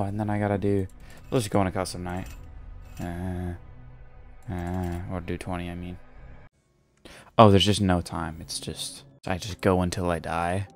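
A young man giving casual, offhand live gaming commentary, muttering to himself and swearing. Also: fairly low pitch. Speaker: game streamer.

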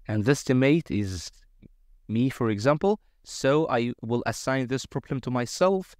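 A man presenting a step-by-step software tutorial in a semi-improvised narration over a screen recording. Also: mid-range voice, no room sound, clean studio sound.

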